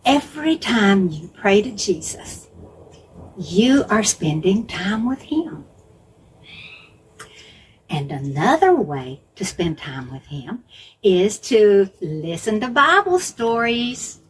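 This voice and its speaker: Children's Bible storyteller, woman: very animated, unhurried, simple phrasing with repetition, faint noise behind. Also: mid-range voice, rough recording. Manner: simple phrases, repetition for emphasis, questions to listeners.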